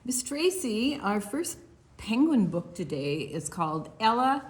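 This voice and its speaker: An older woman co-hosting a children's storytime, explaining and reading in a gentle, deliberate, expressive way. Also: medium pitch, heavy reverb. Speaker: children's storytime co-host and reader.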